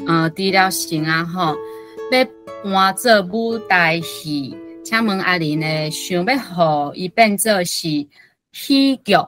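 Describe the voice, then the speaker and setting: A woman's voice: fairly low pitch, fairly bright tone. A woman politely puts questions as a reading-group member, hesitating and rephrasing as she goes.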